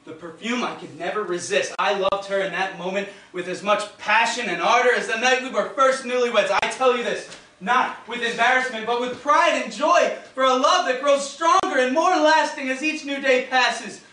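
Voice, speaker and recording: An actor playing a flustered, tipsy husband spinning a tall story in a comic stage monologue, with theatrical delivery, recorded on an echoey theatre stage. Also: male voice, very high voice, distant mic. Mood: rapturous. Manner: theatrical, halting then excitable storytelling. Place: indoor stage of a small theatre.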